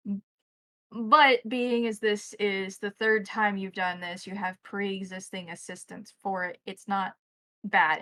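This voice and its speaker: A woman's voice: medium pitch, bright, forceful tone, no room sound. A woman narrating a tabletop roleplaying game as the game master, describing scenes to players.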